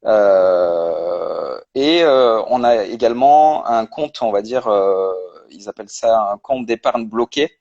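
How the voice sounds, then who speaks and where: A man speaks as a guest in a casual live-stream interview over a video call. His voice sits on the low side. He explains things step by step, pausing often on fillers as he works through each point. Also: animated, vocal fry, a little mellow, dull audio. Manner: explains step by step with many hesitations. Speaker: guest, personal finance creator.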